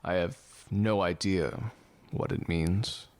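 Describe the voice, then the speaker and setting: A literal-minded man of medicine in an audio drama. His voice is fairly low and very unhurried. He explains things plainly and didactically. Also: a little mellow. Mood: didactic, calm. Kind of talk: scripted audio drama acting.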